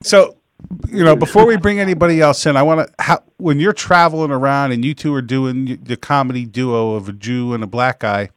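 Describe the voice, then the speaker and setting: A man's voice: mid-range voice, no room sound. A man in his forties hosting a live talk show, brash and teasing, joking crudely with his guests. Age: 40s. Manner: brash, teasing, quick to laugh.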